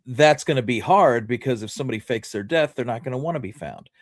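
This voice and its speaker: A man co-hosting a casual history discussion, explaining his points in a measured, deliberate way. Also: medium pitch, expressive, no room sound, clean studio sound. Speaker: podcast co-host.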